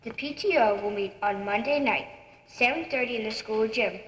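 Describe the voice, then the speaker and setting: A woman voicing a calm blind eleven-year-old boy in a children's puppet show, patiently explaining. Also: on the high side, moderate pace, slight background noise, close to an everyday voice. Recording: echoey hall.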